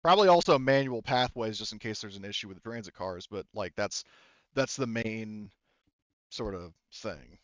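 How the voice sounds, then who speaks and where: Halting, informal man, dry muffled sound. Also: on the low side, dry recording. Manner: informal, halting, backtracking mid-sentence. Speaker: game master. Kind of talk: casual narration of a tabletop roleplaying game.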